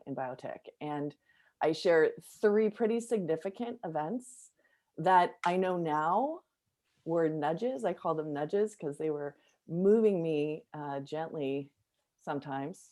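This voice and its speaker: A woman's voice: low-pitched, dark tone. A woman author introducing her chapter in a casual chat, reflective and hesitant with fillers. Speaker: co-author guest.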